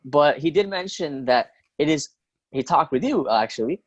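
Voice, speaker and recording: A man's voice: on the high side, animated, normal speed, no room sound, highs cut off. A man acting as interviewer, speaking in a conversational way.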